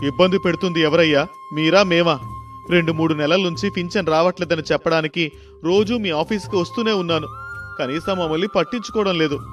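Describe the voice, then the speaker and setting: A man's voice: a little high, fairly quick, soft background music, voice pushed up, close to an everyday voice. A man voicing a poor, aggrieved elderly village husband in a story, complaining and pleading. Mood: angry complaint. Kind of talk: storybook voice acting.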